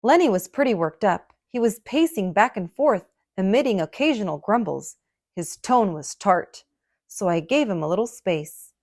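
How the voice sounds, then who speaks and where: A woman reading a picture book aloud for children in a dramatic, expressive storytelling manner. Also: medium pitch, moderate pace.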